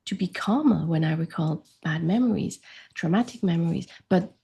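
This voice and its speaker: Warm husky woman explaining earnestly. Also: on the low side, moderate pace. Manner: earnest, animated when explaining. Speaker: grief coach guest. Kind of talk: explanatory interview talk.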